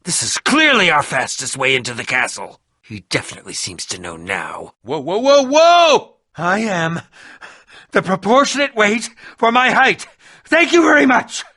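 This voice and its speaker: A man voicing an excitable, eccentric and pompous adventurer-scholar for a video game, theatrical and grandiose, with dramatic pauses and sudden outbursts. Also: sits high, dry recording, clean studio sound, heavily acted. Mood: grave, wry, then indignant. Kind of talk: animated video game character acting.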